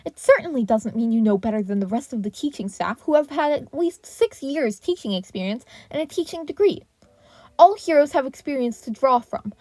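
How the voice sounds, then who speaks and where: A woman voicing an indignant male teacher in a story reading, sharp and commanding as he lectures a colleague. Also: sits high, lively intonation, a little fast, no room sound, pitched above the performer's own voice. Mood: stern lecturing.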